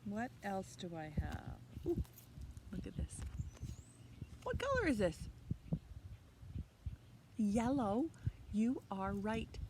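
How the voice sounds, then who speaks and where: A woman in her fifties hosts an interactive preschool storytime, speaking in simple short phrases and asking children encouraging questions. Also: mid-range voice. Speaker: children's library storytime host. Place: outdoors in a leafy backyard. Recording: outdoor ambient noise.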